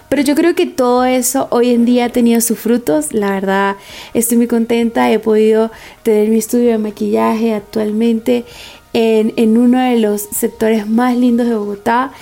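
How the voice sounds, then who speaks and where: Bright-toned young Venezuelan woman, slightly creaky. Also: on the high side. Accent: Venezuelan Andean. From Táchira, Venezuela. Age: 20s. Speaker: podcast guest, makeup artist. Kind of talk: personal storytelling.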